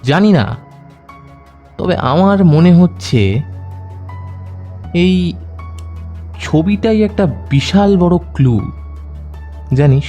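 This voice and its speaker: A man acting a young detective in an audio drama, self-assured and instructing, with a brisk, commanding way. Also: high-pitched, lively intonation, mellow, lo-fi sound, soft background music, full character voice. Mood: pensive.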